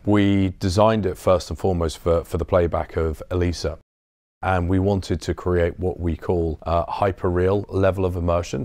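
A man's voice: low-pitched, darkish tone, no echo. A man in his thirties informally explaining products as a company director showing visitors around a showroom. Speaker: business development director giving a showroom tour. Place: large acoustically treated showroom.

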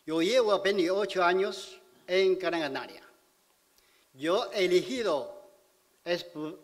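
Slow, high-voiced man with a Chinese accent. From China. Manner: halting, simple broken Spanish with pauses. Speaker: community speaker at civic event. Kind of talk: public speech at a podium. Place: large auditorium stage. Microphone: podium microphone. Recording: hall reverb.

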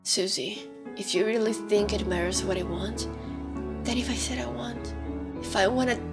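A woman's voice: mid-range voice, steady tone, normal speed, close to an everyday voice. A woman voicing a troubled, withdrawn human teenager in a dramatic comic dub, speaking in an earnest, pleading manner. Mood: hesitant. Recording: background music under the voice. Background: music.

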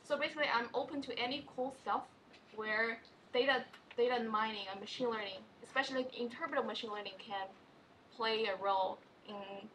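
A woman in her thirties, an assistant professor, introducing her research at a seminar table in a soft-spoken way. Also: fairly high pitch, a little fast, slight background noise, rough recording. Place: conference room around a table.

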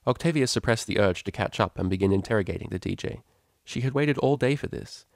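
Guy reading an audiobook story, lowish voice, dry recording. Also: quick pace, gravelly, no echo. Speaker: audiobook narrator. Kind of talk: scripted story narration.

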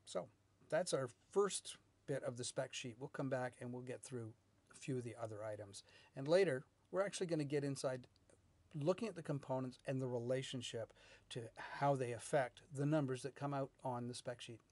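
Middle aged guy teaching tech stuff to camera, normal pitched voice, kinda halting measured phrasing. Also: darkish tone, no room sound. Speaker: loudspeaker R&D manager, instructor. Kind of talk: explanatory technical lesson to camera. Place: small indoor video studio with whiteboard.

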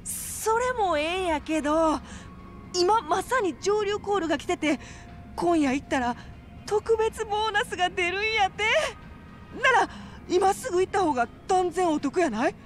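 High-pitched, pushy Kansai hustler gal. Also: female voice, normal speed, faint music behind. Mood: sheepish pleading. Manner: animated, coaxing, emphatic. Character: brash, pushy, wheedling female fight promoter. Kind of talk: video game cutscene acting.

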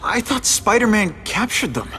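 Higher-pitched, earnest young reporter. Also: male voice, very animated, moderate pace, bright, forceful tone, a little hiss or hum, exaggerated voice. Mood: uneasy. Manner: casual, hesitant, probing. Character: earnest young male reporter, curious, wry, slightly hesitant. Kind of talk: naturalistic video game acting.

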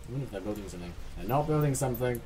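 A man's voice: medium pitch, animated, very fast, darkish tone. Rambling British man over music. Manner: silly, self-correcting, muttering asides. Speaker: gaming video host. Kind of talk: casual rambling game commentary.

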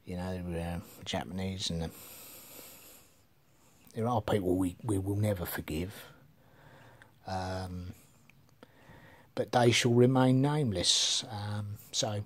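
Rambling, swearing middle-aged man. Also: lowish voice. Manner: meandering, swears casually, trails off. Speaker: vlogger. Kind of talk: rambling casual monologue. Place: living room with bookshelves, indoors. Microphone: phone camera held at arm's length.

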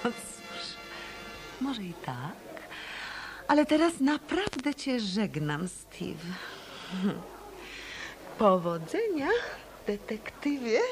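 An actress playing a self-assured, sardonic, tough nightclub singer in a hard-boiled radio play. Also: female voice, a little high, very animated, extremely slow, long pauses, soft background music, full character voice. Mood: sardonic, cool. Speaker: radio drama actor.

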